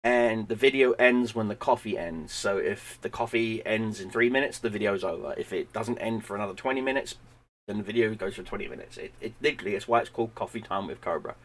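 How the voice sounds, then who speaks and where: A man, hoarse and losing his voice, chatting loosely to viewers at home at the end of a stream, rambling and repeating words. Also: on the low side, fast talker. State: losing his voice, sinus infection. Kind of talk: casual stream sign-off chat.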